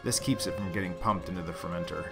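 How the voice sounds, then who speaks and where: Male host, low voice, casual and playfully dry delivery, music behind. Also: fast, vocal fry. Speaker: host, home brewer. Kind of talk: casual scripted narration and relaxed tasting chat.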